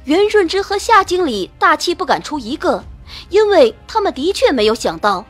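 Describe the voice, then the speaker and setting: Woman reading a novel out loud, dramatic, lively pitch, quiet music behind her. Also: moderate pace. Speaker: audiobook narrator. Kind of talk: scripted dramatic narration of a romance novel.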